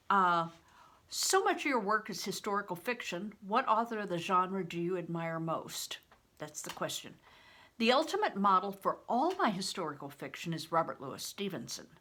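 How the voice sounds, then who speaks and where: An older woman reading aloud in a deliberate, expressive way, with informal asides of her own. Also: medium pitch. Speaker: read-aloud host.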